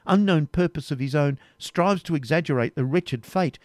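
A proud young woman held captive speaks with quiet resolution, as a male reader voices her. Her pitch sits well above the reader's own deep voice, and the recording is dry and close. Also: moderate pace, no room sound, lightly acted. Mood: indignant. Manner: earnest, steady pleading. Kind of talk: audiobook reading, period novel.

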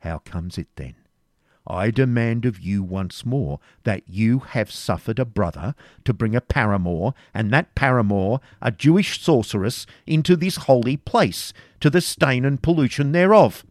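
A man's voice: on the low side, expressive, a little mellow, no echo, clean studio sound, voice pushed up. A man putting on a severe, commanding old Grand Master. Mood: stern, accusing. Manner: severe, commanding, slow and emphatic. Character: stern, severe elderly male Grand Master of a knightly order.

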